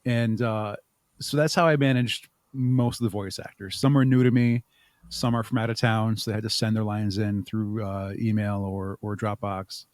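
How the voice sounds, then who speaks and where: A man's voice: fairly low pitch, fairly even intonation, moderate pace, no echo. A man speaking casually as a podcast guest, explaining his creative work in a rambling, chuckling way. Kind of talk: casual interview chat.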